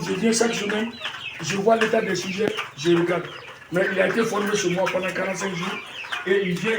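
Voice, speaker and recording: A man in his fifties with a Malian French accent shows off his poultry farm, proud and repetitive, often restarting his words. His voice sits high for a man. It is heard from a distance in an echoey space, with clear farm noise and clucking behind him. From Mali. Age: 50s. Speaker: poultry breeder. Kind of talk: farm tour talk to camera. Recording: echoey, far from the mic, noisy farm.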